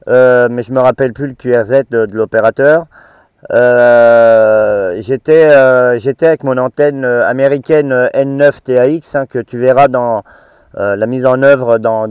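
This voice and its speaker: A man's voice: medium pitch, clean tone, dry recording. A middle-aged man chatting casually as an amateur radio operator, hesitant, in short choppy phrases with little tags. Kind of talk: casual radio contact chat. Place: inside a parked car. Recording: dull, muffled, dry sound.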